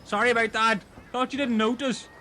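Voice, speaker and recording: A man's voice: extremely high pitch, steady tone, brisk, pushed and bright, a little hiss or hum. A man playing a foolish, eager castaway who is easily wounded, in a comedy audio drama. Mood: sheepish.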